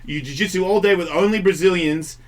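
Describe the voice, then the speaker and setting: A man's voice: high-pitched, brisk. A man in his forties hosting a casual radio talk show, telling rambling stories and laughing often.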